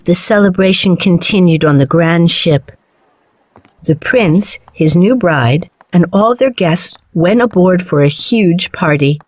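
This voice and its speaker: A woman narrating a children's fairy tale in a measured, clearly phrased storytelling manner. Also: on the low side, darkish tone. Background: background music.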